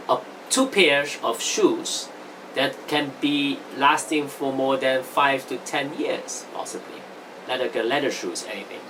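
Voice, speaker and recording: Guy explaining stuff like a teacher, really up and down intonation, halting emphatic phrases, slight background hum. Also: fairly high pitch. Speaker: presenter of a self-improvement lesson. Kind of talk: informal explanatory teaching monologue.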